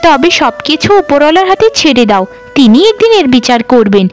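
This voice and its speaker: A woman's voice: high-pitched, very animated, fairly quick, soft background music. A woman voicing an earnest, lamenting younger village sister-in-law in a cartoon. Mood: resigned. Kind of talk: Bengali cartoon dub acting.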